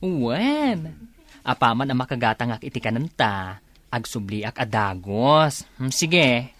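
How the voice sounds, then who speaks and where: An actress playing a nosy, teasing best friend in a radio drama, playful and inquisitive. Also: female voice, a bass voice, big pitch swings, measured pace, no room sound, exaggerated voice. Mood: casual. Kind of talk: radio drama acting in Ilocano.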